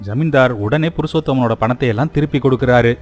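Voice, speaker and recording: A man's voice: mid-range voice, fast, a little mellow, soft background music. A man reading scripted narration for a children's moral story.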